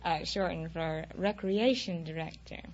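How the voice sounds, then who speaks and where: A woman's voice: fairly low pitch, steady tone, measured pace, darkish tone, a little hiss or hum. A young woman from Utah giving conversational answers as a contestant on a radio quiz show. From Utah, United States. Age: young adult. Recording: muffled vintage 1940s radio recording.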